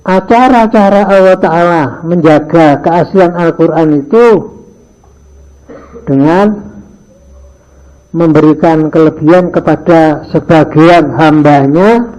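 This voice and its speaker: Older preacher, lo-fi tape. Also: male voice, on the high side, slow pace, pauses often, a little mellow. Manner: mixes in Javanese phrases, acts out dialogues in his stories. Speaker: Islamic teacher of boarding school students. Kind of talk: morning religious lesson. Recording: dull lo-fi archive recording.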